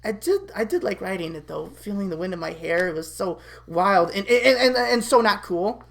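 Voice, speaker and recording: A woman's voice: medium pitch, expressive, normal speed, creaky. A woman playing a dramatic, athletic young woman who rants and stammers about romance in a cartoon-style story. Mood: bitter.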